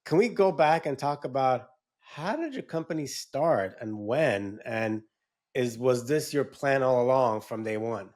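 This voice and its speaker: A man's voice: mid-range voice, lively intonation, a little slow, darkish tone. A man co-hosting a casual podcast, steering the talk with easygoing questions.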